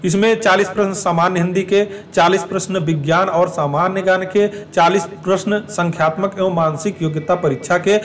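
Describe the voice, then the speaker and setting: A man's voice: sits high, steady tone, moderate pace, hardly any pauses, recorded from a distance. A man giving an explanatory talk to exam aspirants, repeating and rephrasing his points as he goes. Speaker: exam information presenter.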